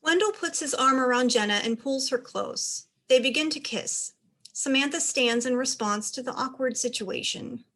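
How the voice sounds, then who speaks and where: A woman's voice: high-pitched, moderate pace, pushed and bright. A woman reading stage directions as scripted narration for a play.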